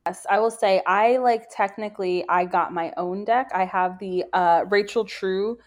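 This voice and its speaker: A woman's voice: medium pitch, normal speed. A woman in her thirties, raised in the American South but with no accent, chatting casually as a podcast co-host with trailing fillers.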